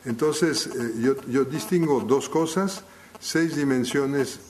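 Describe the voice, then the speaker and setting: Man at middle pitch, not a native speaker, lecturing live; hesitant, restarts a lot; faint background noise. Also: rough recording. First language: not Spanish. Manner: halting, full of restarts while thinking aloud.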